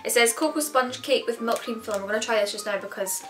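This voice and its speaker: A woman's voice: a little high, fast talker. A young Scottish woman co-hosting a casual taste-test vlog, chatty and giggly, easily grossed out by odd food. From Scotland. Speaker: vlog co-host. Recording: roomy echo, distant mic, music behind.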